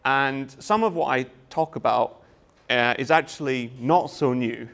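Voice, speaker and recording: Brief: Scottish lecturer, man, 30s, presenting to students, halting with fillers. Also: mid-range voice, vocal fry, a bit bright. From Scotland. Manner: hesitant, frequent uh fillers and restarts. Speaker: university lecturer. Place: lecture room.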